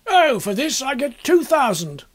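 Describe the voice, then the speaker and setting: A man's voice: very high-pitched, big pitch swings, unhurried, voice pushed up, full character voice. A man voicing a blustering, exuberant, opinionated male painter in a storybook reading, with an emphatic, declamatory manner. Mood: brisk, assured.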